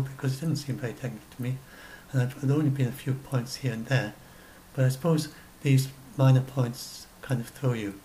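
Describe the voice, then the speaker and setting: A man's voice: mid-range voice, dark tone, a little hiss or hum, rough recording. A man in his sixties, a science blogger, talking through his notes on his own at home in a rambling way that keeps correcting itself, recorded on a webcam at his desk. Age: 60s. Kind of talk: casual solo commentary. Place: small room at home.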